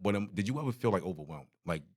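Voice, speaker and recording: A man's voice: deep voice, expressive, rapid-fire, darkish tone, slightly rough. A man in his forties hosting a podcast interview, talking in laid-back, slangy banter. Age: 40s. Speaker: podcast host. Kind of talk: casual interview chat.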